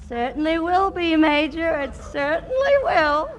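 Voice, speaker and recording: Gushing little-girl act, high voice, cutesy baby talk, 1940s radio comedy, old noisy recording. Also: big pitch swings, unhurried, faint music behind. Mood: sweet, coy. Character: grown woman posing as gushing twelve-year-old girl.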